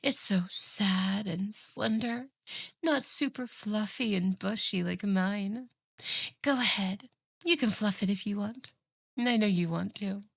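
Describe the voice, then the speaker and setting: A woman's voice: mid-range voice, slow, gravelly, a bit bright, breathy edge, no echo, exaggerated voice. A woman voicing a clingy, boastful, obsessive fox girl in an ASMR roleplay, coaxing and doting in a theatrical way. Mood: smug, scornful.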